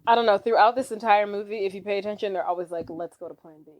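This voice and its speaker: A woman co-hosting a podcast, recapping a movie in a playful, animated, joking way. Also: medium pitch, fast, no echo. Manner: playful, animated, jokey retelling. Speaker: podcast co-host. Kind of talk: casual movie recap chat.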